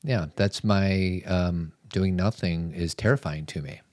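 Low-voiced guy on a podcast, easygoing, asks questions, dry close sound. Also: measured pace, darkish tone, no room sound. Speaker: podcast co-host. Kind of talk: casual chat.

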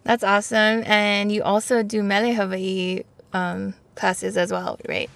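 Brief: woman interviewer, mid pitch, dry close recording, short prompts. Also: little pitch movement, unhurried, gravelly, a bit bright, no echo. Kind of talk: friendly interview questions.

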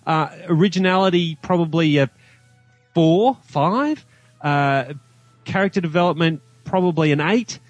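A man's voice: fairly high pitch, measured pace, dry recording, soft background music. A man with an Australian accent giving his opinions in a casual film discussion, emphatic and reasoning aloud as he goes. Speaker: podcast guest reviewing a film.

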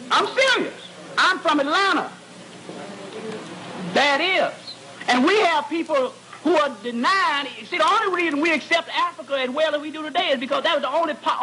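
A man, a psychologist, giving an animated lecture to students, repeating words as he searches and joking with the audience. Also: extremely high pitch, noisy background.

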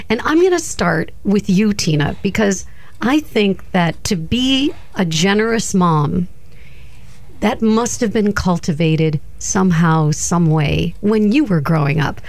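A woman's voice: medium pitch, expressive, measured pace. A woman hosting an interview program, asking questions in an encouraging, curious way. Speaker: interview show host.

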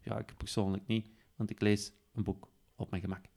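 A man with a Flemish accent presenting an informal software demonstration, explaining step by step and hesitantly repeating screen labels. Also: on the low side, little pitch movement, normal speed, vocal fry. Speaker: podcast presenter demonstrating an app. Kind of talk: informal spoken software walkthrough.

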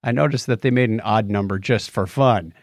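A man's voice: lowish voice, a little fast, no echo, studio quality. A man co-hosting a podcast, chatting casually and commenting on news with wry, joking asides. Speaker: podcast co-host.

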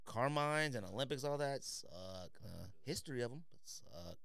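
A man's voice: medium pitch, expressive, moderate pace, creaky, a bit bright, clean tone, no echo, studio quality. A man co-hosting a podcast in casual chat, speaking bluntly with slangy, opinionated banter. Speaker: podcast co-host.

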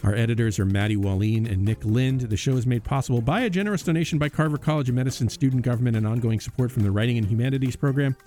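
A man's voice: medium pitch, rapid-fire, hardly any pauses, warm tone, soft background music. An American man in his fifties hosting a podcast in a conversational way. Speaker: podcast host.

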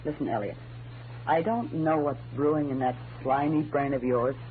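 Tough, sardonic nightclub singer. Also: female voice, extremely low pitch, moderate pace, narrow, tinny audio. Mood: hostile, threatening. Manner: cool, sardonic. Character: sultry, tough, sharp-tongued female nightclub singer. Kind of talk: 1940s radio noir acting. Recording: thin, noisy old radio recording.

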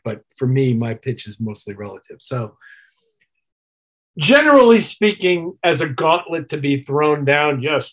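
A man's voice: mid-range voice, breathy edge, rough recording. An older man, a musician guest, talking casually in an interview with a wry, emphatic, opinionated manner. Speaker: interview guest, keyboard player and producer.

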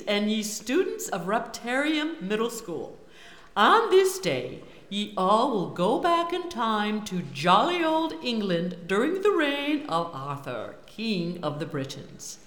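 A woman's voice: a little high, full character voice. A woman playing a theatrical schoolteacher who makes mock-medieval proclamations in a grand, ceremonious way. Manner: ceremonious, declamatory. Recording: echoey hall with long reverb, light crowd.

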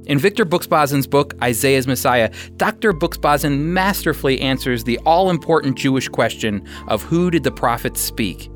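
A man giving a scripted radio sermon as host and Bible teacher, speaking earnestly with deliberate, emphatic phrasing. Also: medium pitch, animated, soft background music.

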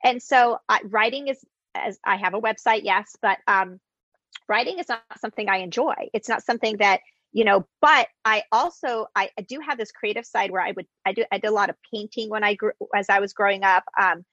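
A woman's voice: mid-range voice, brisk, a bit bright, dry recording. Woman interviewer, chatty, self-correcting, muffled dry recording. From United States, Greek immigrant parents. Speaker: interviewer, recipe creator. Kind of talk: casual interview chat.

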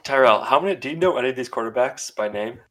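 A man co-hosting a podcast in casual chat, teasing the others with quick questions. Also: medium pitch, expressive, a bit bright. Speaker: podcast co-host.

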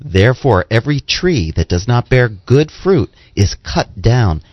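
A man's voice: medium pitch, sing-song, unhurried, dry recording. A man co-hosting a radio show, delivering scripted announcements and scripture readings. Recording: slightly dull, band-limited radio sound.

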